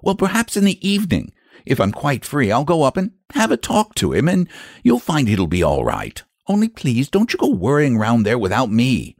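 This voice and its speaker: A bookish country boy from a storybook, played by a grown man. He speaks high with very lively swings of pitch, yet stays unruffled, self-assured and slightly condescending. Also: moderate pace, no echo, studio quality. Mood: calmly confident. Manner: unruffled, matter-of-fact, gently condescending.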